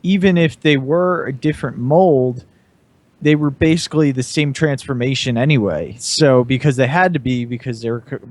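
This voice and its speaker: A man's voice: medium pitch, normal speed, a little mellow, no echo. A man in casual conversation as a podcast panelist. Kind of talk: casual chat.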